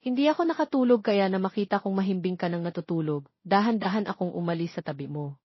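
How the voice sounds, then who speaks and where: A woman's voice: medium pitch, fast talker, slightly rough, no echo, close to an everyday voice. Woman doing a tearful young mom in a romance audio drama, pleading and hurt, dry muffled recording. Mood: tearful, sorrowful. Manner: emotional, pleading. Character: hurt, tearful young mother pouring out old grievances.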